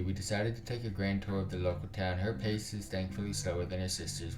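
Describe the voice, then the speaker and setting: Deep-voiced man narrating in a roomy space. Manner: slangy, sweary in asides. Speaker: video game streamer reading a visual novel aloud. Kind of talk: narrative read-aloud with casual asides. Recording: roomy echo, music behind. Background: game music.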